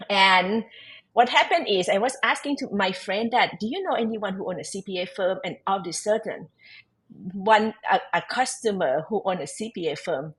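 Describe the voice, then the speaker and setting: A woman's voice: mid-range voice, rough recording. A woman from Thailand with a Thai accent, a tax advisor who speaks Thai natively and tells her story in earnest, searching for words in her learned English as a podcast guest. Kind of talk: storytelling in an interview.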